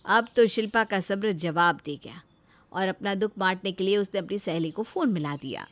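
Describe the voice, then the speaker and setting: A woman's voice: mid-range voice, moderate pace. A woman narrating a scripted moral story as a storyteller.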